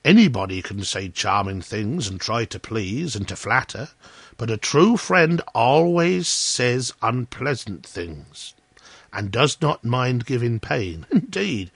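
A man's voice: mid-range voice, vocal fry, slightly rough, no echo, full character voice. A man voicing a smug, self-righteous miller in a storybook tale, lecturing in a pompous, preachy manner. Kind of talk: storybook character voice.

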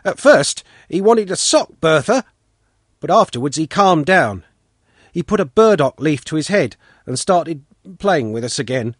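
Stubborn cocky village boy, read by a man, dogged and raised, lively delivery; storybook. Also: on the high side, a little slow, no room sound, clean studio sound. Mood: dogged telling.

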